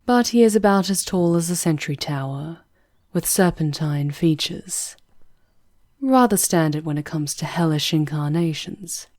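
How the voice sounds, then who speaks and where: Composed, protective demoness guide, acted by a woman, deep for a woman, unhurried, measured and hushed, fantasy ASMR roleplay. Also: lively intonation, no echo, studio quality. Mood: hushed, wry.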